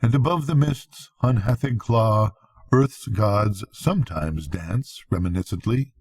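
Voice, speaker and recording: An older man reads a storybook aloud at a slow pace. His voice is husky and sits at a middling pitch, with solemn, dramatic phrasing in a dry recording. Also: darkish tone, no room sound. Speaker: narrator, grandfather reading bedtime stories. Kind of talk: scripted storybook narration.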